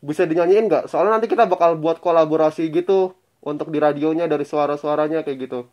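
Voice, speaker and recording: A man's voice: fairly high pitch, fast, a little mellow, dull audio. A young man asking questions in a polite, probing way, posing as a phone interviewer for a prank.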